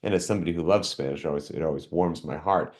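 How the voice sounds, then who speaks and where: A man's voice: very deep voice, rapid-fire, darkish tone. A man hosting an interview podcast, curious and affirming, asking his guest questions in a casual way. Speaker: podcast host.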